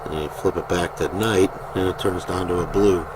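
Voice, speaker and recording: A man giving a casual, plain-spoken product demonstration as a private seller, in an unhurried, informal way. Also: low-pitched. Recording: noisy home video, steady background noise.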